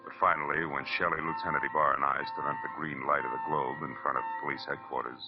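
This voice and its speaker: An American actor playing a wry, world-weary private detective in 1940s radio style, clipped, slangy and deadpan. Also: male voice, a bass voice, fast. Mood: brisk, hard-boiled. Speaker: lead actor and narrator of a radio detective series. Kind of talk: 1940s radio acting, hard-boiled first-person narration. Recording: dull vintage radio recording. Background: dramatic radio music.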